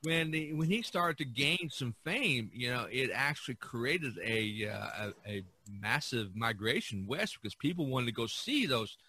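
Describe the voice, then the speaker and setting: A man speaking as a podcast co-host in a casual art history discussion, haltingly searching for words. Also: mid-range voice, lively intonation, pushed and bright, breathy edge.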